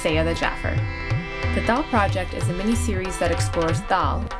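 A woman's voice: fairly low pitch, moderate pace. A woman hosting a radio show, reading a scripted introduction. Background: acoustic guitar and tabla music.

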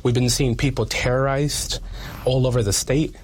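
A man's voice: medium pitch, steady tone, normal speed, a little hiss or hum. A man speaking as a community activist in an interview, earnest and emphatic, repeating phrases for weight.

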